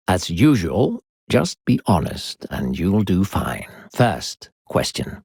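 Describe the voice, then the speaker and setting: A man playing a sinister, coaxing disembodied narrator who guides a child in a video game, gravelly, slow, theatrical and condescending. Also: darkish tone, dry recording, studio quality, full character voice. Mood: probing, sinister. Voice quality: deep, gravelly. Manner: smooth-talking, condescending, theatrical, slow and deliberate.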